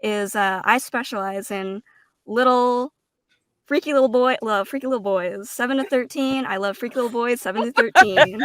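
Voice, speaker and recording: A woman's voice: a little high, moderate pace. A woman voice actor introducing herself casually as a panel guest, in a joking, self-deprecating way.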